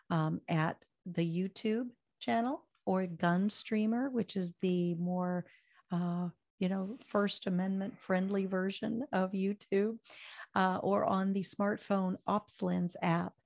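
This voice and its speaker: Hoarse fiery older woman talk host. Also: mid-range voice, steady tone, unhurried, a little mellow. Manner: fiery, preachy, chatty sign-off. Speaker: radio talk show host. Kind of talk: impassioned political talk show.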